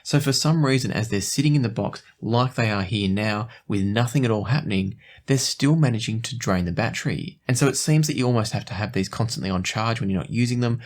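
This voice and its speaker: A man presenting a product review in a conversational, explanatory and even-handed way. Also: fairly low pitch. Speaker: host of an audio gear review show.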